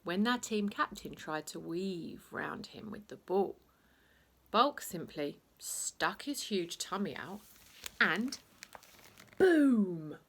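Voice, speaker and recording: A woman narrating a children's bedtime story in an expressive, theatrical way, playing up the sound words. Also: medium pitch, slow pace.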